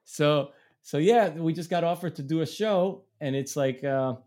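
A man's voice: fairly high pitch, unhurried, warm tone. Rambling Israeli-born musician, storytelling man. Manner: rambling, many restarts and laughs. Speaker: touring musician, guest. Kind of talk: casual storytelling.

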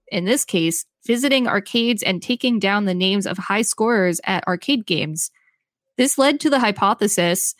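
A woman with an average-pitched voice narrates an encyclopedia-style article as one host of a podcast. She reads from a script and can slip into brief casual remarks. Soft music sits faintly underneath. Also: no echo.

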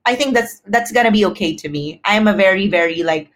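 A woman's voice: medium pitch, fast. A woman talking casually and personally as a podcast guest, expressively acting out her own thoughts as quotes.

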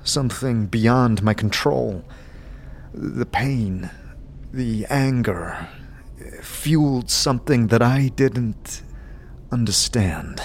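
A man voices an uncertain wanderer with no memory in a fantasy audio drama. He is earnest and halting, taking his time over his words, with faint noise behind. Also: mid-range voice. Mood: earnest, hesitant. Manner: hesitant, earnest. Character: uncertain amnesiac male wanderer seeking understanding.

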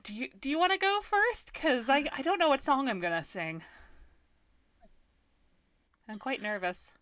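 A woman with a loud, giggly way of talking, co-hosting a silly improvised podcast from her room at home. Her voice sits a little high and sounds sharp and bright. The recording is dry and close, with no room sound. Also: animated, normal speed, no echo. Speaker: podcast co-host. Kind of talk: improvised comedy chat. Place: her room in a shared flat. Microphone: wearing headphones at a home setup.

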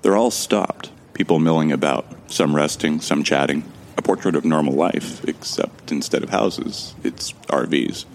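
A man's voice: a bass voice, normal speed, vocal fry, few pauses, a little hiss or hum. An actor playing a bewildered amnesiac man who wryly narrates his ordeal in the first person for a sci-fi audio drama. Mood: wry, musing. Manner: wry, musing.

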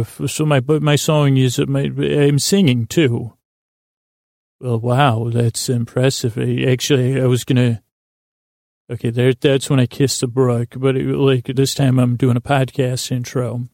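Creaky-voiced man rambling haltingly. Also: mid-range voice, level tone, a little mellow, no room sound, studio quality. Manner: halting, digressive, with long pauses and repeated words; silly, absent-minded. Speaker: podcast host. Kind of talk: rambling whimsical monologue meant to distract listeners.

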